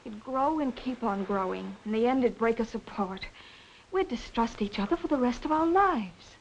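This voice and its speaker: A woman acting an earnest, anguished wife in a 1940s film melodrama, measured and dramatic. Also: fairly high pitch, expressive, gravelly. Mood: pleading, earnest. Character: earnest, anguished married woman pleading for honesty. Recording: muffled, hissy old film soundtrack.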